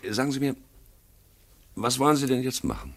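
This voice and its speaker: An actor playing a stern, condescending middle-aged police commissioner in a German radio play, brusque, officious and dismissive. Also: male voice, mid-range voice, huge pitch swings, brisk, fairly bright tone, full character voice. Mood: dry, interrogating.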